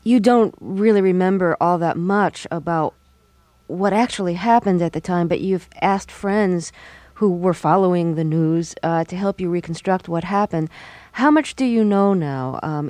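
A woman radio host reading a scripted introduction and then asking interview questions in a measured way. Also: lowish voice, darkish tone, dry recording.

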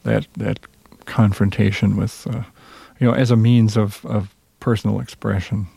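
A low, heavily gravelly man's voice with a Minnesota accent answers interview questions slowly and reservedly, pausing often. The sound is dark and a little husky. It sits dry and close to the mic. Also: little pitch movement, no echo. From Minnesota, USA. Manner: hesitant, reserved, many pauses and fillers. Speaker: jazz musician guest.

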